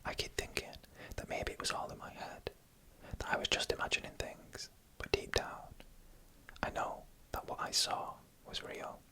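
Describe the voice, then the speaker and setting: A narrator reading eerie stories in a hushed ASMR whisper, with deliberate pauses between phrases.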